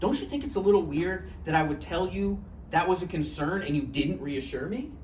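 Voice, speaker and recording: Woman as wounded, self-pitying boyfriend; low pitch, swinging intonation, echoey room. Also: expressive, fairly quick, a little mellow, natural voice. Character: insecure, wounded boyfriend, an aspiring novelist. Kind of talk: live table read of a relationship drama play. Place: room, live table read. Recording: echoey, noisy room, distant mic.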